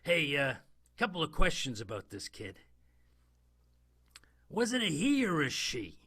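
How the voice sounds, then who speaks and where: An actor playing a wistful 1920s New York police detective in an improvised comic radio play, with halting, exclamatory speech. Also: male voice, on the high side, wildly swooping pitch, sharp tone, breathy edge, exaggerated voice. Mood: earnest, hesitant. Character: male 1920s NYPD detective, wistful war veteran.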